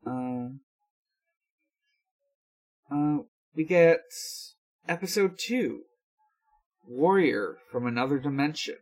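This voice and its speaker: A man hosting a podcast, giving a casual recap in a halting, unhurried way full of hesitations. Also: a little high, lively intonation, darkish tone, soft background music. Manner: halting, filler-heavy, unhurried. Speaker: podcast host. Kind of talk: casual episode recap with frequent pauses.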